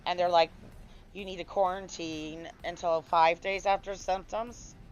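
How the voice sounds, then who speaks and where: A woman streamer with a hoarse voice, chatting casually with viewers and a co-player while gaming. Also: on the low side, fairly even intonation, unhurried, dull audio, slight background noise. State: hoarse, recovering lost voice.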